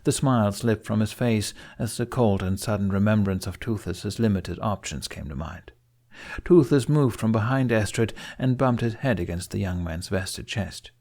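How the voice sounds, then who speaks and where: A man's voice: lowish voice, normal speed, a little mellow. A man reading scripted fiction as an audiobook narrator.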